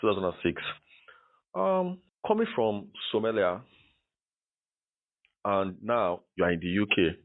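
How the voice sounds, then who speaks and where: A man's voice: fairly low pitch, sing-song, measured pace, long pauses, dry recording, phone-quality sound. A man hosting a podcast, introducing the show and interviewing a guest.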